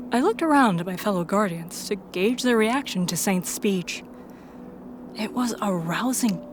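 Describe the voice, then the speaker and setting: A woman's voice: medium pitch, noisy background. A woman reading scripted first-person story narration as the narrator and protagonist of an audio drama.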